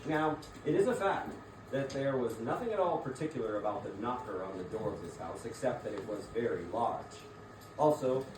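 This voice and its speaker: A man narrating a classic tale as part of a dramatic theatrical reading. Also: mid-range voice. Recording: echoey room, distant mic, clear background noise.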